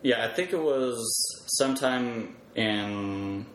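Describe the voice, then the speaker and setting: A man in a casual explanatory chat as a podcast guest, talking in a halting way as he thinks aloud. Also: mid-range voice. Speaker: podcast guest, web developer. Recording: echoey room with faint background noise.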